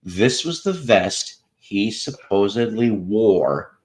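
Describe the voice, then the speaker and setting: A man hosts a loose, unscripted chat and has a soothing, relaxing voice. He talks very slowly and rambles, with a wide, lively rise and fall in his pitch. He trails off and restarts his sentences often. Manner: slow, rambling, with many restarts and pauses. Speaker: host. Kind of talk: casual storytelling chat.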